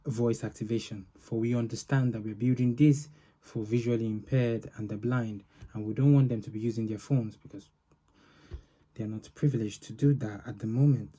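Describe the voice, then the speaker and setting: A man giving an informal software demo as a developer, narrating in halting phrases with pauses. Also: medium pitch, fairly even intonation. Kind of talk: informal product demo walkthrough.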